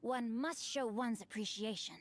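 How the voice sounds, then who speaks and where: A woman's voice: fairly high pitch, normal speed, a little hiss or hum, natural voice. A woman playing a refined, theatrical young schemer and book lover in a video game, speaking formally and effusively. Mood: effusive, grand. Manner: formal, grandiloquent. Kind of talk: animated video game voice acting.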